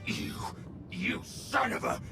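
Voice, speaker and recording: An actor playing an impatient, threatening gangster in a video game, speaking in terse demands. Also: male voice, slow, whispered, faint music behind, natural voice. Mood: panicked anger. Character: menacing, impatient male gangster thug. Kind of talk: video game cutscene acting.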